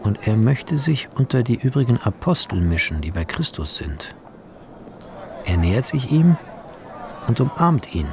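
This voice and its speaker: A man's voice: mid-range voice, sing-song, a little slow, pauses often, a little mellow, a little hiss or hum. A man reading film scene directions as the narrator of a radio play, in clipped, descriptive phrases. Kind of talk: scripted radio drama narration. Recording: music under the voice. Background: music.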